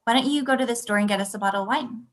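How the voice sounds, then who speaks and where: A woman's voice: on the high side, very fast, lightly acted. A woman playing a suspicious, probing girlfriend in a comedy table read on a video call, pointed and questioning. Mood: coaxing.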